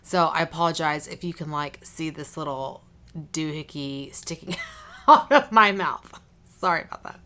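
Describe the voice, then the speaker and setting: Woman, slightly low pitch, casual beauty vlogger, chatty, informal, rambling, mildly congested, cough drop in mouth. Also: moderate pace, pushed and bright. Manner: chatty, informal, prone to tangents. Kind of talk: casual chat to camera.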